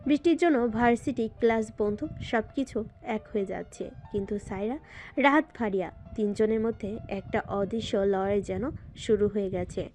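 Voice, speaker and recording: A woman's voice: on the high side, darkish tone. A woman narrating a scripted romantic story in a dramatic style.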